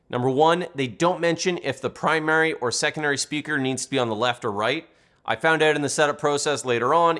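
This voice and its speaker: A man's voice: medium pitch, fairly bright tone. A man reading a scripted product review as the reviewer and narrator.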